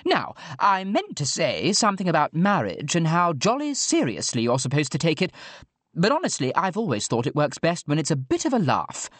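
A man's voice: huge pitch swings, normal speed, fairly bright tone, dry recording, heavily acted. A breezy posh English dowager swooping grandly through a storybook speech at a country-house party, acted out by a male narrator. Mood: breezy, cheerful. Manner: plummy, booming, blithely theatrical. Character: jolly posh loud older woman, brazen and breezy. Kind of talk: audiobook character dialogue.

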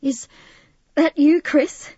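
Woman voicing an eager, high-pitched girl. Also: expressive, unhurried, darkish tone, dry recording, muffled recording. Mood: breathless, concerned. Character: warm, excitable, sympathetic teenage girl.

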